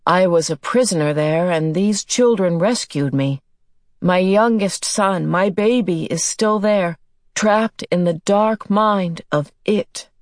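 A woman voicing a steady, dignified older father in an audiobook, speaking frankly and distinctly. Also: fairly low pitch, dry recording, studio quality. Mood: grave, steady. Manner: slow, distinct, measured. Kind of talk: audiobook character voice.

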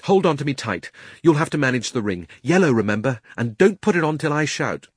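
A young schoolboy adventurer from a children's story, played by a male narrator. He is plucky and impatient. His delivery is earnest and lively in pitch. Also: fairly quick, slightly rough, no echo, clean studio sound. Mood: urgent.

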